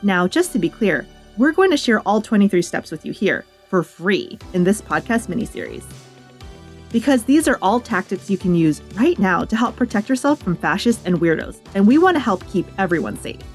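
A woman's voice: mid-range voice, big pitch swings, moderate pace, soft background music. A woman host reading sponsor and outro copy in an upbeat, friendly scripted way. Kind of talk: scripted ad read.

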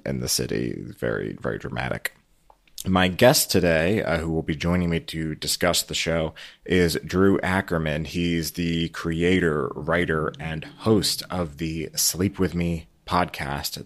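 A man hosting a podcast, moving between scripted introduction and conversational talk. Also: low-pitched. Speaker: podcast host.

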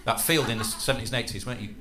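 A man's voice: mid-range voice, racing, very noisy. A man on a live comedy panel, teasing the audience with crude, mock-knowing asides. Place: comedy club stage before an audience.

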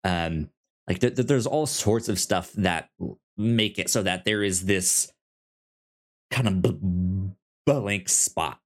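Slow-spoken man, halting and casual. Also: low voice. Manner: halting, stammering over word starts. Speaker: podcast co-host. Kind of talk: casual TV show discussion.

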